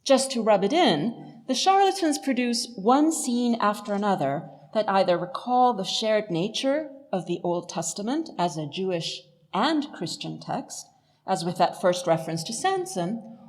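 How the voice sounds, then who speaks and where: A woman professor giving a literary lecture in an articulate, measured, academic manner. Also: medium pitch, a little mellow. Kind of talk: illuminated lecture, literary analysis.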